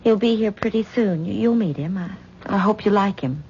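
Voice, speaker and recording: An actress gives voice to a subdued young wife in an old radio play, hesitant and worn out. The broadcast is dull, with a faint hiss in the background. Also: female voice, mid-range voice, moderate pace, a little mellow, natural voice. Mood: weary. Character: weary young wife, Tessa, leaving husband. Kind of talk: 1970s radio drama acting.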